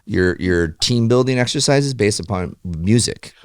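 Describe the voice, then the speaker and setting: A man's voice: on the low side, expressive, a little slow, smooth, dry recording, studio quality. A man hosting a podcast, talking in an informal, conversational way. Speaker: podcast host. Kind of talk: casual podcast chat.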